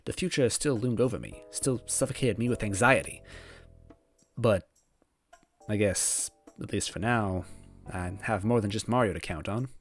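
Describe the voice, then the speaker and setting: Man acting anxious young man, hesitant self-doubting storybook narration, low voice, soft music behind. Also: moderate pace, close to an everyday voice. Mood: anxious, brooding. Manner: hesitant, wavering, second-guessing. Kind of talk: first-person storybook narration. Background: background music.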